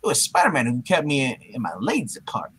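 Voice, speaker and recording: A man's voice: mid-range voice, huge pitch swings, fairly quick, a bit bright, breathy edge, heavily acted. A man voicing an anxious, wisecracking young superhero in a theatrical comic book reading, given to exclamations. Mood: anxious musing.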